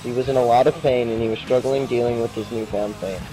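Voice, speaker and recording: Flat monotone young man reading a review. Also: medium pitch, fast talker, rough recording. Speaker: student podcast reviewer. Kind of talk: scripted music review narration. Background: music.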